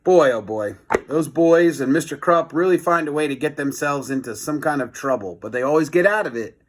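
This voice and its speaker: A man reading a children's book aloud in an expressive storytelling style, with chatty, casual asides. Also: fairly high pitch, a little fast, slight background noise. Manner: expressive, chatty commentary between passages.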